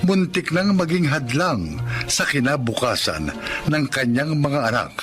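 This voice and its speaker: Slow, animated Filipino male narrator. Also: a little high. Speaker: drama host and narrator. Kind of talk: dramatic scripted narration in classic radio style. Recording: music behind the voice.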